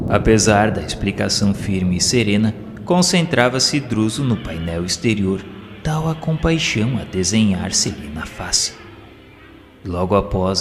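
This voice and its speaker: A man with a Brazilian accent reads a scripted audio novel as its narrator, in a solemn, formal and measured literary manner. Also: fairly low pitch. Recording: long hall reverb, music underneath. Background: background music.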